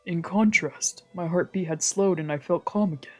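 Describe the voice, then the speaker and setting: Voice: mid-range voice, moderate pace, fairly bright tone. A streamer reading a visual novel aloud as dramatic narration, with casual asides and the occasional stumble. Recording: music behind the voice. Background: background music.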